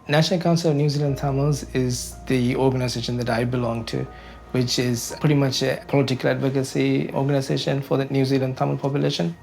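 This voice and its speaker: A young man from Sri Lanka, speaking as an interviewee about his life, hesitant with many pauses. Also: mid-range voice, little pitch movement, vocal fry, darkish tone. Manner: hesitant, many ums and uhs. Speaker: interviewee, community advocate. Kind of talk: recorded interview, reflective. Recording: background music under voice.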